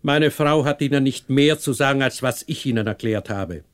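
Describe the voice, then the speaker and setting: A man acting a stern, proud, unforgiving older patriarch in a German radio play, cold, sarcastic and measured. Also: mid-range voice, lightly acted. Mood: cold, haughty.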